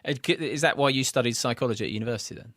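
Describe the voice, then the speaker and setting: A man's voice: mid-range voice, very fast, fairly bright tone, no echo, clean studio sound. A man hosting an interview, asking friendly, probing questions. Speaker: podcast host.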